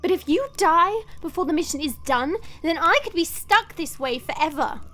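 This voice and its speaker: A woman's voice: extremely high pitch, lively intonation, moderate pace, fairly bright tone. A woman playing a haughty dragon princess in human form, speaking formally and imperiously in an audio drama. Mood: firm. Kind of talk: audio roleplay drama acting. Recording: music under the voice. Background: background music.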